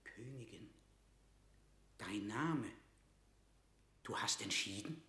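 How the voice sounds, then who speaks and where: An actor playing an anxious, dutiful royal secretary in a classic radio drama, speaking in a formal, pleading, theatrical manner. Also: male voice, fairly low pitch, expressive, slow pace, sharp tone, exaggerated voice. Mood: grave, hesitant. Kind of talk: classic German radio play acting of verse drama. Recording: roomy, reverberant radio drama studio.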